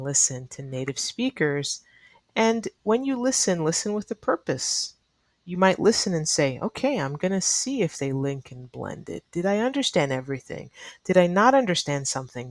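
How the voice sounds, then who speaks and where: A woman's voice: low voice, dry recording. A woman teaching an online English lesson in a neutral American accent, explaining earnestly to learners and repeating key advice for emphasis. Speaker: ESL teacher.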